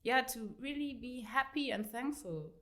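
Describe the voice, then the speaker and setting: A woman's voice: fairly high pitch, animated, measured pace, a bit bright. A woman in her late thirties with a German accent, chatting as a guest in an interview. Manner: chatty, many fillers, laughs easily. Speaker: guest author. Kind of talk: casual interview chat.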